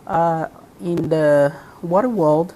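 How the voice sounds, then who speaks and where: A woman from Nigeria speaks as an interview guest, explaining patiently in a measured, unhurried way that is full of ums and uhs. Her voice sits quite low for a woman, with a faint hiss of noise behind it. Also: dark tone, rough recording. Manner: slow and measured, with frequent um and uh fillers. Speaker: interview guest, spiritual practitioner.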